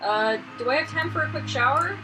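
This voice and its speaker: A woman's voice: high-pitched, lively intonation, a little fast, music in the background, pitched above the performer's own voice, rough recording. A woman voicing an earnest, eager young man, a painter, in a visual novel. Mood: polite.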